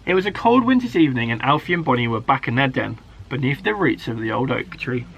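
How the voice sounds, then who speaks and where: A man, a primary school teacher, reading a children's story aloud in an expressive, dramatic way. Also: medium pitch, quick pace, gravelly, lo-fi sound, a little hiss or hum. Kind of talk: animated children's story narration.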